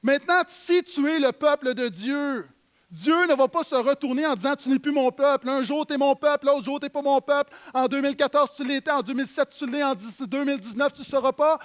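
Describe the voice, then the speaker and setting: High-voiced Québécois pastor preaching. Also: male voice, fairly quick. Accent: Québécois French. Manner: emphatic preaching, swinging from loud to low. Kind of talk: sermon. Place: church.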